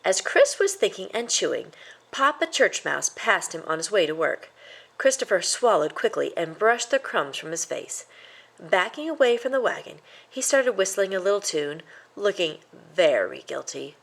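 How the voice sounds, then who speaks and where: A woman narrates a children's storybook from a script. Her voice sits at a medium pitch and moves up and down in a very lively way as she reads. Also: normal speed, gravelly. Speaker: storybook reader.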